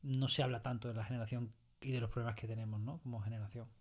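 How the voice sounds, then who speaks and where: A man with an accent from Spain, speaking informally as an interviewed writer, halting and rephrasing as he goes. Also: medium pitch, level tone. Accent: Spanish from Spain. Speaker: series writer being interviewed. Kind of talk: conversational interview. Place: recording studio.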